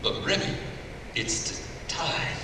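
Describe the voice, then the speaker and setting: A man's voice: takes it slowly, natural voice. An actor playing an anxious Cajun man pleading for help at a live cartoon table read. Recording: echoey noisy hall, far from mic.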